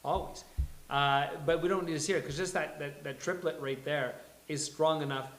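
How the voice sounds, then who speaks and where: A man's voice: a little high, fairly even intonation, moderate pace, fairly bright tone. A man hosting a casual live panel discussion, thinking aloud conversationally as he talks. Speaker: host of a live table read discussion. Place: live venue with a small studio audience. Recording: echoey room.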